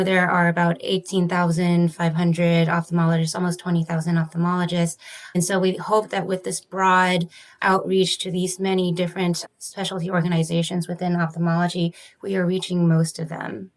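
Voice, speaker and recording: Woman, physician researcher, low pitch, flat intonation, measured, earnest, interview answers. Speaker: ophthalmologist, study author.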